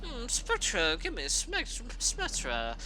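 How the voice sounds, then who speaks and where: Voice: medium pitch, wildly swooping pitch, takes it slowly, bright, forceful tone, a little hiss or hum, pitched above the performer's own voice, exaggerated voice, low-quality recording. A storyteller playing a cruel, furious grown pegasus mare who laughs maniacally and screams with rage. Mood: gloating. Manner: manic laughter and enraged screaming. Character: villainous adult female pegasus, cruel and furious.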